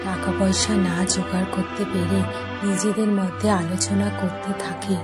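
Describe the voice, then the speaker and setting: A woman's voice: mid-range voice, level tone, moderate pace, close to an everyday voice. A woman acting as the storyteller, narrating a scripted village ghost tale for a cartoon. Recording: music under the voice.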